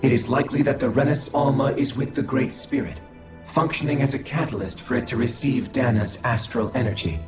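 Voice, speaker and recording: A man voicing a detached alien observer in a video game, formal, measured and emotionless. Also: mid-range voice, fairly even intonation, close to an everyday voice. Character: cold, detached alien observer of a fallen species. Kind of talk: scripted video game voice acting. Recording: very roomy echo, music behind.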